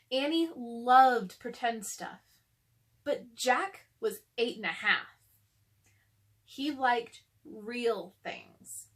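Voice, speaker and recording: High-voiced young woman, unhurried story reader. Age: young adult. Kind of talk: casual chat and storybook read-aloud for children.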